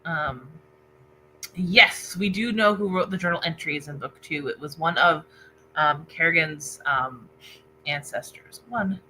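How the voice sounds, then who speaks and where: A woman with a bright, crisp-edged voice hosts an informal book discussion on a livestream. She chats casually and relays comments over an internet call, with faint noise and hum behind her. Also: deep voice, unhurried, low-quality recording. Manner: informal, reads out viewer comments. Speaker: book club host. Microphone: internet video call.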